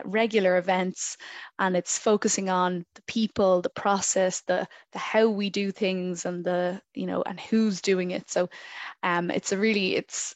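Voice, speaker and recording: A woman's voice: mid-range voice, no room sound. Woman hosting an online workshop. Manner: friendly, chatty, many fillers. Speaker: event host. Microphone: video call.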